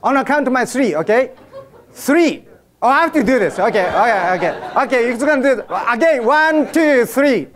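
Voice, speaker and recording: Lively Japanese-accented man addressing a crowd. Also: extremely high pitch, moderate pace. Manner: jokey, drives audience participation. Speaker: speaker at an event. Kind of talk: humorous workshop talk. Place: event room with an audience.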